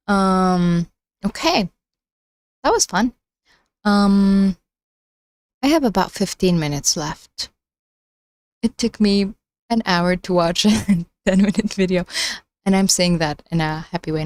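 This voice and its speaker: A woman hosting a casual livestream, chatting in a halting way as she thinks out loud. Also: on the low side, dry recording. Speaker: livestream host.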